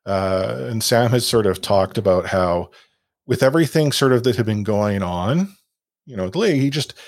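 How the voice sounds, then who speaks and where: A man's voice: fairly low pitch, animated, normal speed, darkish tone, no echo, clean studio sound. A man hosting a casual esports podcast, rambling and self-deprecating with many fillers and restarts. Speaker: podcast host.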